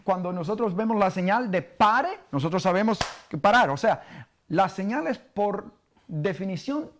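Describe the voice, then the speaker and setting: A man from Cuba with a Cuban Spanish accent, a pastor teaching a bible study sermon, halting with many fillers and questions to his listeners. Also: high-pitched, expressive, fairly bright tone, slight background noise.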